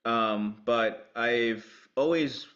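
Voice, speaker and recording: A man from Peru speaking casually and haltingly as a podcast guest, with dull lo-fi sound. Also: mid-range voice, fairly bright tone. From Lima, Peru. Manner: halting, hesitant delivery. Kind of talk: casual self-introduction.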